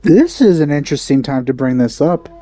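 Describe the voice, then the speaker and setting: A man's voice: a little high, very animated, fairly quick, warm tone, faint music behind. A man giving casual, understated reaction commentary as a let's player.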